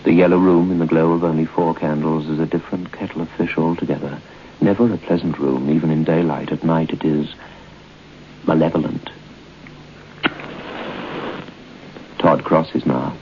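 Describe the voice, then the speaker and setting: Very low-voiced ominous narrator. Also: male voice. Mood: foreboding. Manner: deliberate, dramatic, suspenseful. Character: grave, ominous narrator of a ghost story. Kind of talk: old-time radio horror narration. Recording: muffled, noisy old radio broadcast.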